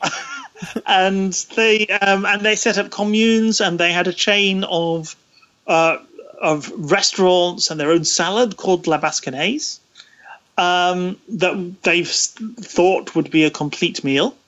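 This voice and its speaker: Halting high-voiced man in interview. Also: steady tone, fairly bright tone, muffled recording. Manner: hesitant, halting, pausing often mid-phrase. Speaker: guest, interviewee. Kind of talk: conversational history explanation in an interview.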